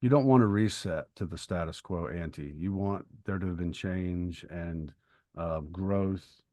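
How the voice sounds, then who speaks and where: A man's voice: deep voice, unhurried, warm tone, no room sound, clean studio sound. A middle-aged man co-hosting a podcast, chatting casually in a bookish, opinionated way and explaining things at length. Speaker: podcast co-host and writer. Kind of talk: casual conversational discussion.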